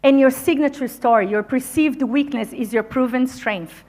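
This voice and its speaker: A woman's voice: high voice, moderate pace. A woman who is not a native English speaker, giving an encouraging motivational talk to a conference audience. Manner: energetic, encouraging, addresses audience directly. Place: conference venue.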